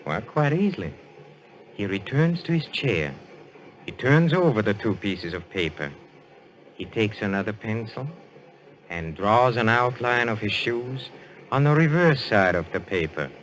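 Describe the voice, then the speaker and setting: A smooth detective, low voice, courtly n deliberate, old radio thriller. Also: male voice, lively intonation, fairly bright tone, rough recording. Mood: patient, explaining. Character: suave, assured French detective, male, posing as a medium. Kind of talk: old-time radio mystery acting.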